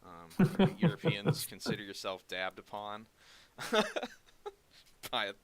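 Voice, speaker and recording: A man's voice: on the low side, very animated, slow. A man in his thirties hosting a live chat, loose and easygoing, laughing and reading out the chat. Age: 30s. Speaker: host. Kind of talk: livestream chat.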